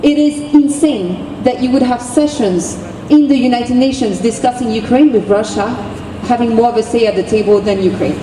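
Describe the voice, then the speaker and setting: A woman's voice: on the high side, moderate pace. A woman with a French accent giving an impassioned speech as an activist at an outdoor street rally, driving her points home with repeated phrases. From France. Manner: forceful, repetitive rallying cadence. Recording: distant, echoey street recording with noise.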